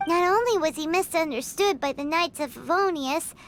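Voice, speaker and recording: A woman voicing a tiny, cheerful, chatty childlike fairy companion in an animated video game, with a perky manner. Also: extremely high pitch, moderate pace, noisy background. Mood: chirpy, explaining. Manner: speaks of self in third person, perky.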